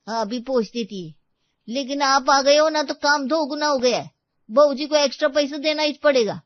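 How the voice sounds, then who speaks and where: A woman's voice: high voice, moderate pace, dry recording, highs cut off, lightly acted. A woman voicing a rude housemaid in a storybook tale, curt, complaining and talking back. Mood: rude, irritated.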